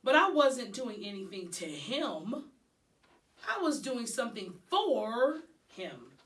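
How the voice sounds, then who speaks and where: A woman's voice: a little high, big pitch swings, slow, a bit bright, far from the mic, pitched above the performer's own voice, exaggerated voice. A woman voicing a small, clever, mischievous and caring classroom pet in a children's storybook. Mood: playfully defiant. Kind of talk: first-person children's storybook narration.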